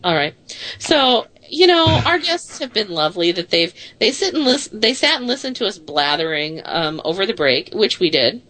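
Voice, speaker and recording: A woman's voice: mid-range voice, very animated, moderate pace, a bit bright, poor audio. Chatty self-deprecating woman in her forties. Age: 40s. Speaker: radio show host. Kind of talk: casual radio talk show chat.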